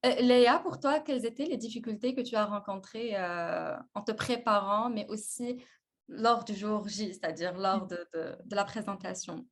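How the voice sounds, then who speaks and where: A woman's voice: mid-range voice, moderate pace. A woman moderating an online webinar who asks questions with hesitant fillers. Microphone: video call.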